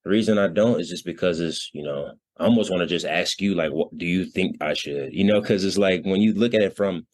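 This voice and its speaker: Young guy, super low voice, college hooper chatting in an interview, informal and slangy, says you know a lot. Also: fairly quick, few pauses. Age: early 20s. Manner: informal, slangy, frequent 'you know'. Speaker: college basketball player, interview guest. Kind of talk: casual, conversational interview answers.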